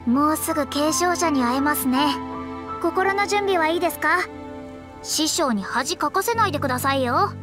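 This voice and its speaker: A woman's voice: high-pitched, lively intonation, normal speed. A woman playing a proud, confident young female astrologer in an anime game, speaking politely with a boastful, self-assured manner. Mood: instructive. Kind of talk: anime game character dialogue. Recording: background music under clean voice.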